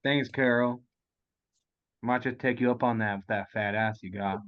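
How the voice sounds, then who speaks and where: A man's voice: mid-range voice, steady tone, normal speed, muffled recording, lightly acted. A man playing a cool, flirty street charmer in a comedy table read, talking slangy, cocky and laid-back. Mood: cool, easygoing.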